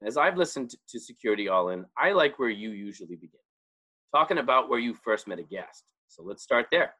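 A man's voice: mid-range voice, very animated, a little fast, bright, forceful tone. A man in his forties hosting an interview in a teasing, conversational way. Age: 40s. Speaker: interviewer host. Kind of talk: casual interview.

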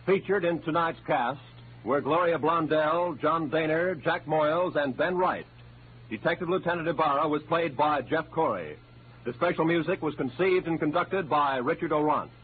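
A man announcing in a vintage 1940s radio manner. His voice sits fairly high, with a scripted delivery. The sound is narrow and tinny, with faint noise. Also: moderate pace. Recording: tinny, noisy old radio broadcast.

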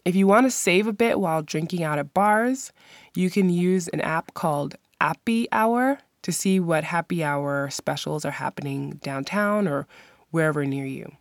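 A woman's voice: on the low side, normal speed, dry recording, studio quality. A woman hosting a podcast, giving friendly, practical travel advice. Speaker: podcast host. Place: lives in the country she describes.

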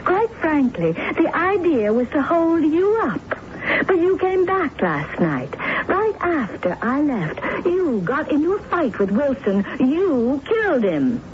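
A woman's voice: high voice, very animated, slow, heavily acted. A woman playing a shrewd, manipulative, coaxing actress in a radio drama. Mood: bargaining, threatening. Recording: muffled, noisy old radio recording.

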